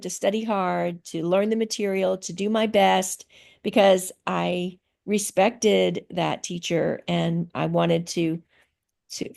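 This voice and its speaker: A middle-aged woman talks slowly and carefully on a video call, pausing often to gather her thoughts. Her voice has a gravelly, creaky texture. She answers in a relaxed, conversational way. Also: fairly low pitch. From grew up in Thailand. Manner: slow, thoughtful, with many pauses and fillers. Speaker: interviewee, teacher. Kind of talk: casual interview answers.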